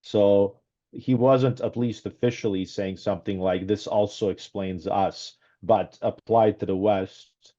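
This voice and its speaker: A man raised in Canada, speaking as a guest on a video call interview through a headset, talking casually with many pauses and restarts. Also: on the low side, steady tone, dark tone. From Serbia, raised in Canada. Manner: hesitant, many ums and restarts. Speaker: guest, author. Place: small room at home. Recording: dull lo-fi video call audio.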